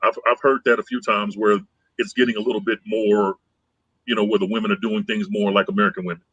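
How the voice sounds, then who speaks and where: A man with a husky, slightly breathy voice talks through his view in a casual podcast chat. He sounds calm and conciliatory, circling back and repeating phrases. The sound is dry and close, with no room echo. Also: fairly low pitch, quick pace, lo-fi sound. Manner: conciliatory, rambling, repeats himself.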